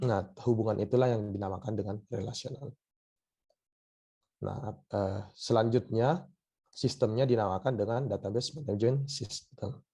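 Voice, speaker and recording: A hoarse man with a cough, giving a university lecture online and often pausing on filler sounds. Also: on the low side, mellow. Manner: hesitant, many filler sounds.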